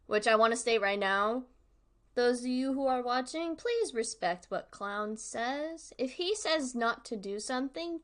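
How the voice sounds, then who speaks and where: Chatty woman streamer with a higher voice. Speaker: streamer hosting a discussion. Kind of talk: casual livestream commentary to viewers.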